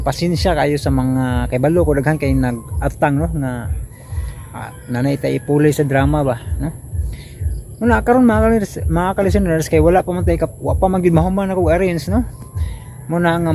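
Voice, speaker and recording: A man's voice: on the high side, creaky, dark tone, a little hiss or hum. A man with a Cebuano accent hosting casually, rambling to listeners and often ending phrases with a questioning tag. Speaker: host introducing archived radio dramas.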